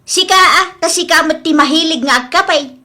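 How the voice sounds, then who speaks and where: A woman playing an exasperated wife with a sharp tongue in a comedy drama, scolding in colloquial speech. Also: very high voice, a little fast. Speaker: actress in an Ilocano audio drama.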